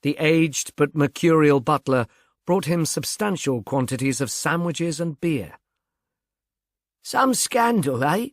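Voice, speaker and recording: A man's voice: on the high side, measured pace, no room sound. Man narrating a novel, dry close sound. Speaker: audiobook narrator. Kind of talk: scripted novel narration with character voices.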